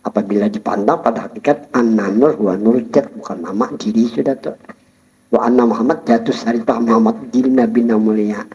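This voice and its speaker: A man giving a Sufi study-circle lecture, explaining calmly and chuckling while he addresses his listeners. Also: mid-range voice, a little fast. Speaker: religious teacher. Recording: dull lo-fi recording.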